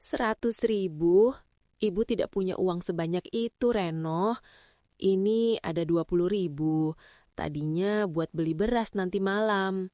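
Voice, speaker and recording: A woman's voice: on the low side, measured pace, mellow, no echo, clean studio sound, close to an everyday voice. A woman playing a poor, long-suffering mother in a cartoon drama, earnest and pleading. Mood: worried.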